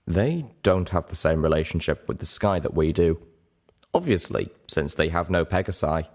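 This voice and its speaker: Man reading as a low-voiced, thoughtful unicorn mare. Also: normal speed, darkish tone. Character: grey female unicorn, guarded, thoughtful storyteller.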